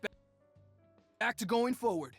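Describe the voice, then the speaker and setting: Cocky young inventor fighter from a video game, super lively delivery, punchy one-liners, dry with faint music. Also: male voice, very high-pitched, measured pace, breathy edge, dry recording, heavily acted. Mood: determined, cocky.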